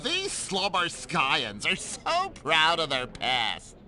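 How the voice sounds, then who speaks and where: A man's voice: on the high side, wildly swooping pitch, slow, sharp tone, faint music behind, exaggerated voice. An actor playing a chatty, genial male socialite at an embassy party in a video game. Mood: sociable. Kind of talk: video game cutscene acting.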